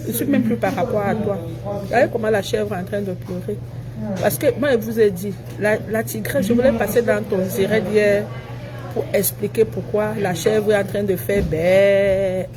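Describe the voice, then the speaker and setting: A woman speaks over very loud crowd-like chatter, at a middle pitch. She delivers a casual live-stream monologue in an emphatic, declamatory way, often repeating phrases for weight. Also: steady tone, moderate pace, poor audio. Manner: self-assured, declamatory.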